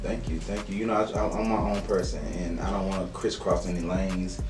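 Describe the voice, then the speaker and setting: A young man, a rapper, talking about his music in a confident, animated, slangy way. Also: low-pitched, brisk. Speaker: rapper, interview guest. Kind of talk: casual interview chat. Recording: echoey room, distant mic, faint music.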